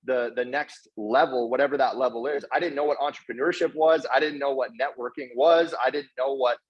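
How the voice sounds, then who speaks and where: Male, mid-pitched, casual motivational speaker, earnest and slangy, lo-fi dull recording. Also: fairly even intonation, a little fast, slightly rough. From Canada, partly raised in South Africa. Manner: earnest, effusively grateful, slangy bro-talk with repeated words. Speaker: guest speaker, network marketer. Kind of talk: informal motivational testimonial. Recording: dull, lo-fi online call audio.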